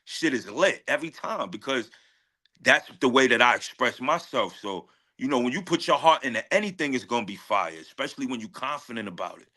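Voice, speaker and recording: A man's voice: mid-range voice, lively intonation, brisk, bright, forceful tone. A man, a rapper talking casually in an interview with heavy slang, confident and emphatic, often repeating his phrases. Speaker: guest rapper.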